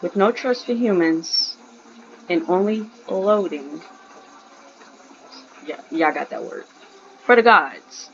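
A woman giving casual game commentary as a streamer, informal and halting in her delivery. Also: on the low side. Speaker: gaming streamer. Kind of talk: casual game commentary, reading captions aloud. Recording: dull, noisy lo-fi mic.

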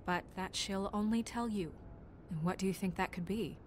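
A woman's voice: medium pitch, normal speed, a little hiss or hum, lightly acted. An actress playing a stern, principled young woman deputy mayor in a video game, speaking in a firm, earnest way. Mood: businesslike. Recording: music behind the voice. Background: music.

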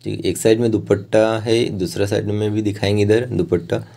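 Low, mellow man explaining steps. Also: flat delivery, normal speed, gravelly. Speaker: art tutorial host. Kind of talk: step-by-step instructional voice-over.

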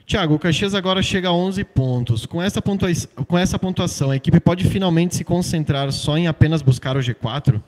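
A man reading out journalists' questions for a club press conference in a steady tone. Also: a little high, fairly quick, few pauses.

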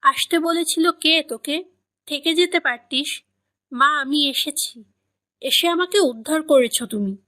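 A woman's voice: sits high, a little slow, pauses often, bright, forceful tone. A woman voicing a harsh, resentful mother who snaps sarcastically at her daughter in an audio story. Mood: angry, scolding. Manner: snapping, sarcastic, scolding. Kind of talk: dramatized romantic audio story.

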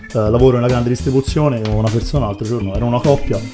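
A man's voice: mid-range voice, fast, over music. A man from Florence, a comedy writer, chatting casually and telling anecdotes as a guest. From Florence, Italy.

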